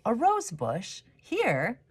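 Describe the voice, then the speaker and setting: A woman's voice: on the high side, huge pitch swings, very slow, breathy edge, pitched above the performer's own voice, exaggerated voice. A woman voicing a friendly, skeptical man next door in a storybook, politely doubtful. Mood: puzzled, doubtful.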